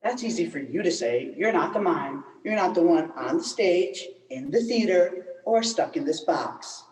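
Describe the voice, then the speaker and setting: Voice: medium pitch, lively intonation, moderate pace, a little mellow, close to an everyday voice. A teen performer plays a stubborn mime stuck in an imaginary box. The delivery is earnest and theatrical. It is heard with strong room echo, some way from the microphone. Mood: distressed. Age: teenager. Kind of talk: school one-act comedy stage acting. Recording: echoey, distant school play recording.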